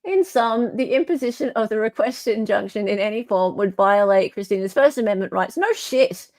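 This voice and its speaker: A woman's voice: mid-range voice, expressive, normal speed, a little mellow, no echo. An Australian woman hosting an online show, reading a legal filing aloud with emphatic, incredulous off-the-cuff commentary.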